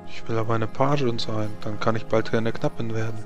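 A man's voice: lowish voice, fairly even intonation, a little fast, music in the background, close to an everyday voice. A man voicing a stubborn, tired little girl in short, simple, insistent replies for a tabletop game. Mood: insistent, eager. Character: stubborn, tired little girl addicted to a drink, dreaming of knighthood.

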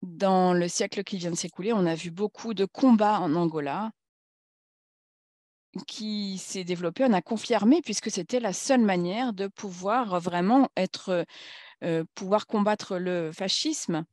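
A woman speaking at a conference in a formal political talk, halting and searching for words as she goes. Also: medium pitch, darkish tone, no room sound, clean studio sound.

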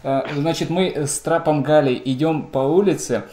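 A man's voice: fairly high pitch, moderate pace, darkish tone. A man hosting a casual video call chat, telling stories and teasing his guest. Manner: chatty storyteller, teasing. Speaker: channel host. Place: indoors, small room. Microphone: headset on video call. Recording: echoey room.

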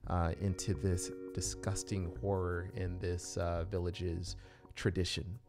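A man's voice: low-pitched, level tone, a little slow, a little mellow. An American man in his thirties from Alabama chatting casually to viewers as host, close on a studio mic. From Alabama, southern United States. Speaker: narrator and channel host. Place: small indoor room. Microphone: close studio mic on boom arm. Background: music.

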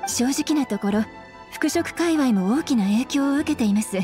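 A woman's voice: high voice, expressive, moderate pace, dark tone. A woman voicing a polite, welcoming young female shopkeeper in scripted game dialogue. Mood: apologetic, explaining. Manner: polite customer-service speech. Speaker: game voice actor. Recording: studio voice over game music.

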